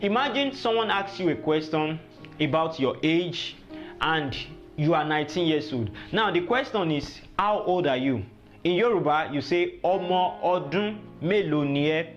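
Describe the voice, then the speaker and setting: A man's voice: on the high side, expressive, moderate pace. A Nigerian man teaching a language lesson informally, encouraging the learner and prompting with questions. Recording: dull lo-fi audio with music behind.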